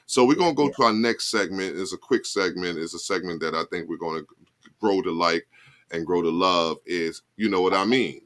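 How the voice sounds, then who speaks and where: A man in his forties with a very low, deep voice. He co-hosts in a loose, halting way, circling back on his words and checking in with listeners as he goes. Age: 40s. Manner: relaxed, halting, repeats "you know what I mean". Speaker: co-host. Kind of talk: casual radio talk show.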